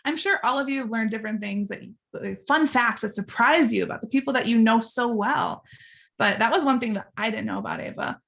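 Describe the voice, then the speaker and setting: A woman's voice: a little high, a little fast, vocal fry, a bit bright. A woman, a learning designer, chatting with students in a bubbly, chatty way and laughing often. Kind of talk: casual class chat with students.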